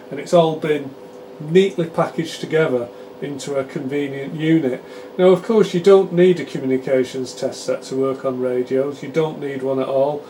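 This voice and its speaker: A British man with a mellow, relaxed voice presents a hands-on technical demonstration. He talks in a chatty, explanatory way with halting phrasing. Faint room noise sits behind his voice. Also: a little high, low-quality recording. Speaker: presenter demonstrating radio repair equipment.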